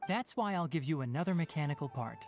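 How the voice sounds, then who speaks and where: A man voicing a calm, aloof but caring young forest ranger in a video game, articulate and clinical. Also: a little high, fast talker. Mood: wry, curious. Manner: clinical, matter-of-fact, articulate. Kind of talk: English game voice acting. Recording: game music behind the voice.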